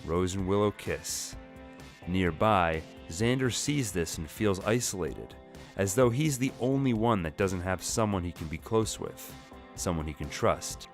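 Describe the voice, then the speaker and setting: Guy with a low voice doing dramatic comic recap narration, music underneath. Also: lively intonation, a little slow. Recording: music bed behind the voice.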